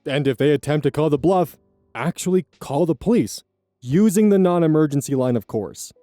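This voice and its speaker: Animated, mellow-voiced male story reader. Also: a little high, moderate pace, no room sound, faint music behind. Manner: animated, blunt and profane in asides. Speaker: host reading Reddit stories. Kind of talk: story reading with casual commentary.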